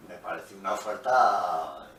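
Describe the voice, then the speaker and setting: A middle-aged man from Pamplona, a musician answering interview questions in an unhurried, storytelling way, his voice fading quietly at the ends of phrases. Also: low voice, expressive. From Pamplona, Spain. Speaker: guest musician. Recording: roomy, echoey, from a distance, faint noise.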